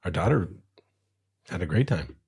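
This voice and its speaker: Hoarse low-voiced man chatting. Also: very animated, moderate pace, a little mellow. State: hoarse from a chest infection. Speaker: podcast co-host. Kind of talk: casual chat.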